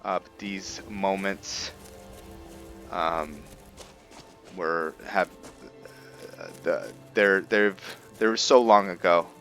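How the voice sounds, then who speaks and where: A man giving casual, reflective commentary over a roleplay video game, thinking aloud in a halting way. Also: low voice, a bit bright, soft background music. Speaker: gaming host.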